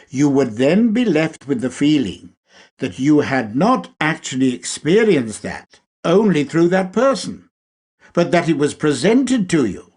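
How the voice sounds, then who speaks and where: A man reading a spiritual lecture aloud in a deliberate, measured way, with emphatic pauses. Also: fairly high pitch, darkish tone, husky. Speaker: reader.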